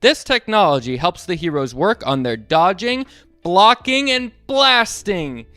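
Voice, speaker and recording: A man reading a storybook aloud to children in a playful, animated way, asking them to join in. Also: high-pitched, a little slow, clean tone, no echo, faint music behind.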